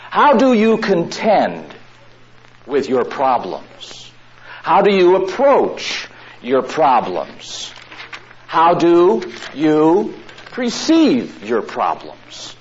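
A man preaching a sermon to a room of listeners, drawing out his words with long pauses. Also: a little high, huge pitch swings. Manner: slow, drawn-out phrasing with long pauses. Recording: dull lo-fi recording.

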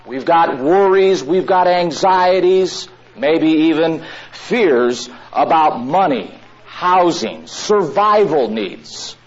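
A man preaching a sermon to a room of listeners, drawing out his words with long pauses. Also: fairly high pitch, expressive. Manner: slow, drawn-out phrasing with long pauses. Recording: dull lo-fi recording.

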